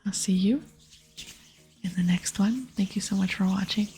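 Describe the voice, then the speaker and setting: A woman hosting an ASMR game playthrough, softly spoken and often whispering in a breathy, soothing, unhurried way. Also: mid-range voice, faint music behind. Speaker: host of a gaming video series.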